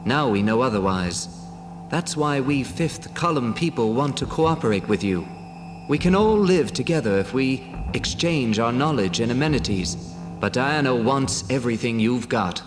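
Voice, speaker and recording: A man's voice: mid-range voice, animated, natural voice. Grave, formal alien rebel played by a man for audio storybook; echoing, long reverb. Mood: grave, earnest. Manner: solemn, measured, formal. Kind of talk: dramatised audio storybook acting. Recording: echoey reverberant hall effect, music behind.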